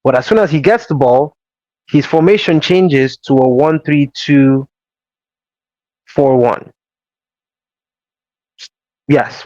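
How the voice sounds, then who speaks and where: A man hosting a casual football show, explaining tactics in a measured, emphatic way. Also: mid-range voice, a little mellow, no echo. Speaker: host. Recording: slightly dull dry audio.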